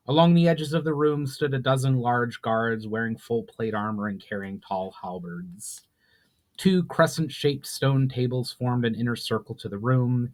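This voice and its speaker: Male author narrating fantasy, mid-pitched. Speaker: author narrating his own book. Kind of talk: dramatic scripted audiobook narration.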